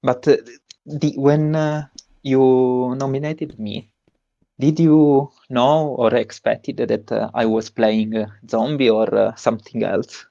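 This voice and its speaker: A young man with an Italian accent, a tournament winner giving a halting interview with many fillers and restarts. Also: medium pitch, fairly even intonation, creaky, mellow, no echo, dull audio. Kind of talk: post-win stream interview.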